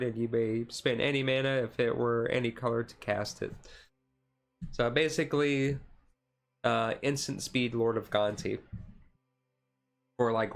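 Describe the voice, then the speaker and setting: Man casually rambling through a card review. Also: mid-range voice. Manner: rambling, halting explanation. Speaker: streamer. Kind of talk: ASMR.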